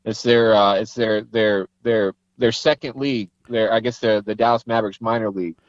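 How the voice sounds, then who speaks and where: A man's voice: lowish voice, fairly even intonation, normal speed, no echo, lo-fi sound. A man chatting casually as an interview guest.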